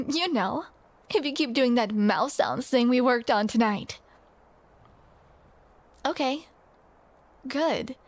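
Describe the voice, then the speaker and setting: A woman's voice: high voice, expressive, takes it slowly, long pauses, dry recording. A young woman performing a scripted romantic roleplay to the listener, with playful, teasing banter.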